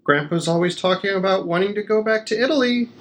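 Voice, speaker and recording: A man's voice: sits high, quick pace, close to an everyday voice. A man acting in a modern audio drama as an eager, teasing, devoted young man, boyish and coaxing. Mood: apologetic, coaxing.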